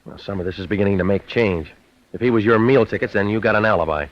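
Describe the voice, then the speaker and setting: An actor playing a wisecracking private detective, deadpan and clipped, narrating his case in a vintage radio noir drama. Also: on the low side, quick pace, close to an everyday voice. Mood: pressing, blunt. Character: wisecracking, hard-boiled male private detective. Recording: dull vintage radio recording.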